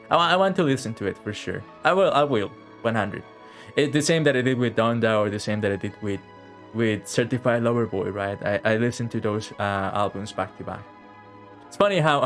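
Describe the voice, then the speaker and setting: A man chatting casually on a game live stream, rambling and repeating phrases as he thinks aloud. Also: medium pitch.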